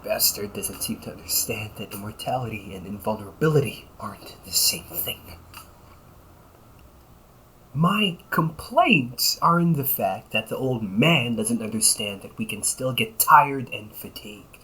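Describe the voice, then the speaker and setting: A man's voice: on the low side, lively intonation, a little slow, bright, forceful tone, slight background noise, rough recording. A man playing a cocky, weary, centuries-old but young-sounding vampire brother in a conversational audio roleplay, grumbling with dry sarcasm. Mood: sarcastic, tired. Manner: sarcastic, grumbling, often chuckling. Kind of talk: audio roleplay, conversational one-sided dialogue.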